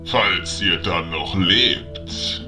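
A very deep voice drags every word out with slow menace. This is a gloating, nihilistic warlord of a fantasy radio play. Music plays clearly underneath. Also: male voice. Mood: menacing. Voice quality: deep, breathy at times. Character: diabolical, dominant undead-allied general, nihilistic, gloating. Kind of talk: fantasy audio drama acting. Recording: music behind the voice.

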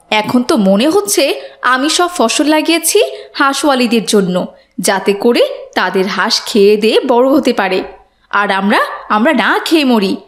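A woman voicing an exasperated farmer's wife and mother in a moral cartoon, nagging with indignant questions. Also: high voice.

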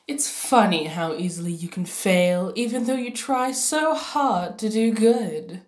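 A woman acts out a scheming cartoon princess with a medium-pitched voice. She is haughty and condescending, a salty diva who stretches her intonation up and down. The recording has a clear room echo. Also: lively intonation, measured pace, close to an everyday voice. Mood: cold, mocking. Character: manipulative, salty diva cat princess, female villain.

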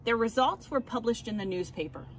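An American woman reading a children's picture book aloud expressively, with deliberate, dramatic emphasis on key words. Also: a little high, animated. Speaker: read-aloud storyteller. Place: outdoors beside a house. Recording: clear background ambient noise outdoors.

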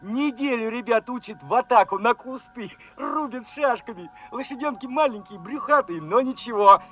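High-pitched, eager young revolutionary soldier. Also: male voice, normal speed. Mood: excited. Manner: excited, colloquial, eager questioning. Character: fervent young revolutionary soldier at the 1918 front. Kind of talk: Soviet radio play acting.

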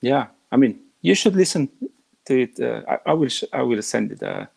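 Gravelly-voiced man haltingly searching for words. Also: mid-range voice, lively intonation, darkish tone. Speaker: guest singer. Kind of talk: video call conversation. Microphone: laptop webcam on a video call.

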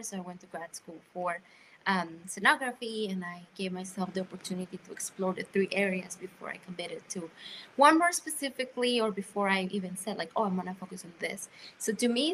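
A woman in her thirties from Costa Rica, a scenographer speaking on a video call panel, animated and quick to laugh. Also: medium pitch, slight background noise, poor audio. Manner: animated, laughing, restarts sentences. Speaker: scenographer and costume design professor. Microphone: laptop webcam on video call.

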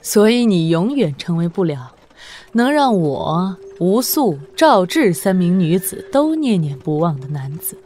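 An actress playing a shrewd, worldly, imperious woman sovereign in a martial-arts audio drama, with deliberate, sardonic delivery. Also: lowish voice, sing-song, mellow, slight background noise. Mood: wistful, reproving. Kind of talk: Chinese wuxia audio drama acting.

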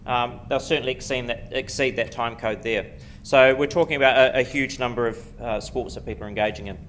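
Quick New Zealand man giving a talk. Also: mid-range voice, fairly even intonation, fairly bright tone, slight background noise. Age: middle-aged. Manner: quick, self-deprecating, many ums and restarts. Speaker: conference speaker. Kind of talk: conference lecture. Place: lecture room before a seated audience. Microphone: podium mic at a lectern.

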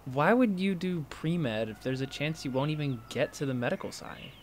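An actor playing an inquisitive, teasing college student in a fiction podcast, with a prodding, excitable manner. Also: male voice, fairly high pitch, normal speed, slight background noise, natural voice. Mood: incredulous. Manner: prodding, animated, incredulous.

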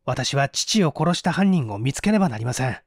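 A man's voice: medium pitch, sing-song, brisk, vocal fry, slightly rough, no room sound, clean studio sound, heavily acted. A man playing a cool, politely needling young man in a mystery story reading, articulate and pointed. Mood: polite, firm.

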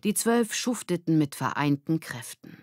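A woman's voice: low-pitched, very animated, moderate pace, darkish tone, dry recording, studio quality. A woman narrating a scripted children's story as an audiobook reader.

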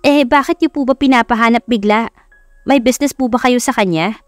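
Woman playing a high, bubbly Filipino secretary. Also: brisk, no echo, soft background music, lightly acted. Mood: incredulous, amused. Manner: polite, teasing, animated. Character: bubbly, giggly, deferential female secretary.

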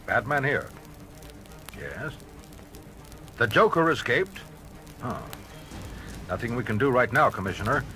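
Commanding, grave superhero over dramatic music. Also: male voice, medium pitch, very animated, measured pace, long pauses, bright, forceful tone. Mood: grave, businesslike. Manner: authoritative, formal, clipped. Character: adult male superhero crimefighter, commanding and grave. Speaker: voice actor. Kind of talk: radio-drama acting on a children's story record. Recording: vintage story record with dramatic music.

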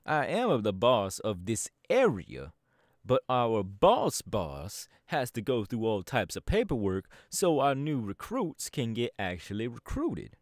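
A man's voice: medium pitch, huge pitch swings, a little slow, no echo, studio quality. A man voice acting a laid-back young male DJ gang boss in an ASMR audio roleplay, talking in an easygoing, chatty drawl. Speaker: voice actor.

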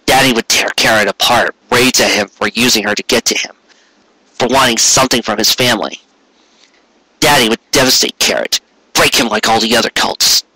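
A man's voice: mid-range voice, moderate pace, sharp tone, slightly rough, no echo, rough recording. A man narrating a story as a dramatic reading, intense in manner, now and then stumbling over words. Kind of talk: dramatic reading of fan fiction.

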